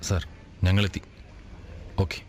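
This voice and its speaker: A man playing a dutiful, sympathetic escort officer with a measured, hesitant manner in a radio drama. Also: a little mellow, a little hiss or hum, close to an everyday voice. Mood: businesslike.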